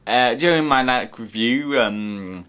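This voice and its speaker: A man's voice: medium pitch, slow pace, fairly bright tone, smooth. A young man with an English accent speaking earnestly and a little hesitantly about the help he gets, with frequent fillers. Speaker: young person using the service. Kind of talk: interview testimony.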